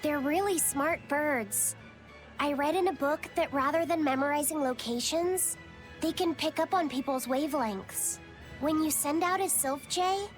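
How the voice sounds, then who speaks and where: A woman voicing a gentle, bookish young boy in an anime-style video game, speaking softly, earnestly and politely. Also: high voice.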